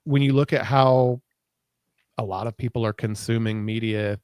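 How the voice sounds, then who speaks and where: A man's voice: mid-range voice, steady tone, moderate pace, darkish tone, no room sound. A middle-aged American man co-hosting a podcast in casual conversation. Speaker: podcast co-host. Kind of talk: casual chat.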